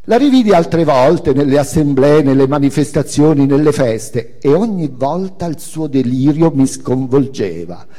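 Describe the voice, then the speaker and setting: A male author-performer reads an autobiographical story in a theatrical style. The voice is husky and fairly high, with lively, wide intonation, and it rings in a long hall reverb over music. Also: unhurried, few pauses. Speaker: author-performer reading a memoir.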